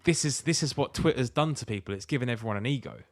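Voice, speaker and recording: A man's voice: mid-range voice, expressive, quick pace, no echo, studio quality. A British man co-hosting a podcast in casual comic chat, ranting and swearing in exasperation. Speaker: podcast co-host.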